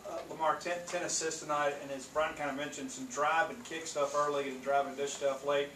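A man's voice: fairly high pitch, steady tone, brisk, fairly bright tone. A man working as a reporter, asking a question at a press conference. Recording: distant echoey room, faint noise.

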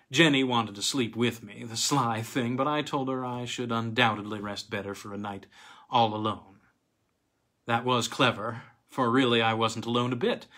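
A middle-aged man reads a literary tale aloud. His voice is bright and forceful, with a husky edge. The delivery is dramatic and halting, leaning on emphatic pauses. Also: medium pitch. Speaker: reader of weird tales. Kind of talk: expressive literary audiobook-style story reading. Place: small home room with bookcase, indoors.

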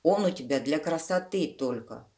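A woman's voice: sits low, expressive, normal speed, mellow. A woman voicing a pestering, demanding little housefly in a children's storybook, insistent and begging.

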